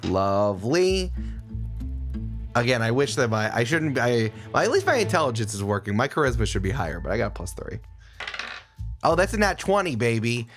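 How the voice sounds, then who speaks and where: A man's voice: medium pitch, very animated, normal speed, soft background music. A man playing a tabletop game, chatting casually and improvising what his character does.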